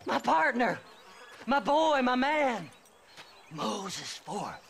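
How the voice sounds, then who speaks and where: A man's voice: extremely high pitch, very animated, extremely slow, vocal fry, fairly bright tone, slight background noise, heavily acted. An actor playing a half-insane, unwashed male grave robber in a video game, with a cackling, rambling, unhinged manner. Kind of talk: video game cutscene acting.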